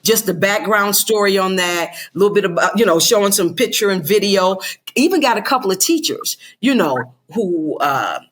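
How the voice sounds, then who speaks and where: A woman's voice: medium pitch, expressive, moderate pace, a little hiss or hum. An older woman, a guest on a podcast, talking animatedly and laughing often. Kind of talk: casual interview chat.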